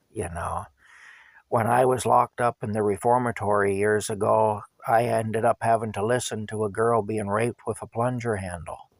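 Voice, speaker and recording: A hoarse man with a low, gravelly voice talks straight to his viewers. He is earnest and plain-spoken, leaning on repetition to make his point. The sound is close and dry, with no room around it. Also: no room sound. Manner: earnest, plain-spoken, repeats himself for emphasis. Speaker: vlogger. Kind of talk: candid personal monologue to viewers.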